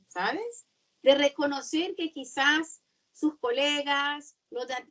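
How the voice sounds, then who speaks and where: A woman who is not a native Spanish speaker, giving a seminar talk and often pausing to search for words. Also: high-pitched, distant mic, highs cut off. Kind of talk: webinar talk.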